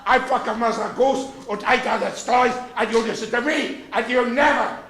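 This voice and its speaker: A man acts out a domineering old-tale mother goose in a comic storytelling bit. The voice is high and bright, with a bitter, scolding, foul-mouthed manner. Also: moderate pace, roomy sound. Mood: bitter, bossy. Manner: domineering, swearing.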